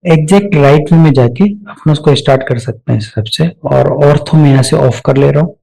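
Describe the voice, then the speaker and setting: A man giving a step-by-step software tutorial off the cuff, mixing English technical terms into his speech. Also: fairly high pitch, fairly quick, gravelly, husky, low-quality recording. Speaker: software instructor.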